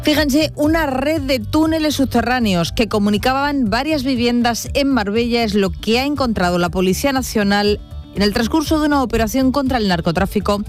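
A woman opening a radio news program in a formal, measured delivery. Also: on the high side, soft background music. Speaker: news presenter.

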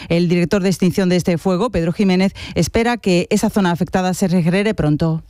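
A man reading the hourly radio news bulletin in a steady, formal way. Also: sits high, a little fast, clear, resonant tone, no echo. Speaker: radio newsreader.

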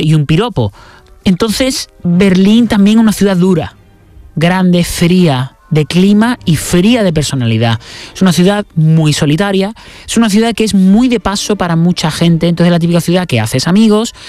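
A man with an accent from Spain talking casually as an interview guest, telling stories in an expressive, colloquial way. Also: sits high, normal speed, no room sound, soft background music. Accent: Spanish from Spain. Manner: chatty, expressive storytelling with colloquial slang. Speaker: interview guest, pianist and actor. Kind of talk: casual reflective conversation.

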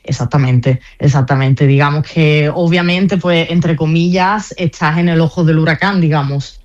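A woman's voice: deep voice, normal speed, a little mellow, lo-fi sound. A young woman with a slight Andalusian Spanish accent tinged with Italian, speaking as a team press officer in a radio interview, chatty and full of fillers and restarts. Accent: Andalusian Spanish mixed with Italian. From Spain.

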